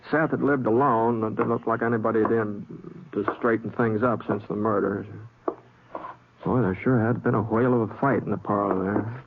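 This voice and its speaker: An actor playing an easygoing cowboy drifter with an American accent, in the folksy, hesitant style of old radio drama. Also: male voice, mid-range voice, creaky. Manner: folksy, hesitant, plain-spoken drawl. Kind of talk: 1950s radio drama acting and first-person narration. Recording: scratchy, tinny, noisy old radio recording.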